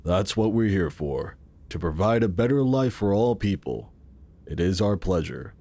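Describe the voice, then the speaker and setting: A benevolent founder in a futuristic audio drama. He is formal and reassuring, with a very animated, varied delivery. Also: low-pitched, moderate pace. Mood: benevolent assurance.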